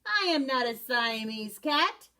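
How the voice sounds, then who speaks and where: A playful young boy kitten with a put-on Spanish accent, voiced by a woman reading aloud. He is bouncy and bold, with wide swings of pitch. The pace is unhurried, as in a storybook. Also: very animated, sharp tone, heavily acted. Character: excitable boy kitten pretending to be a Chihuahua.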